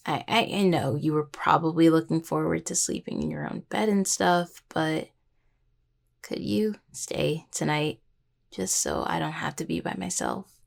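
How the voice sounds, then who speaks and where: Creaky-voiced, halting young necromancer played by a woman. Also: sits low, exaggerated voice. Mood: shy, grateful. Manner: halting, stammering, apologetic. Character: grieving young female necromancer, tender, apologetic, affectionate partner. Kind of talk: intimate audio roleplay addressed to the listener.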